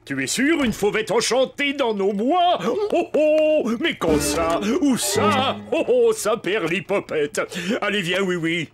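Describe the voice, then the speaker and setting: A fussy toy-town policeman a children's cartoon, in a high, very animated voice. He is pompous yet jolly and excitable, speaking at an easy pace over faint music. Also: slow pace. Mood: excited. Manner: fussy, chuckling, prone to flustered exclamations. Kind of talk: French children's cartoon dub.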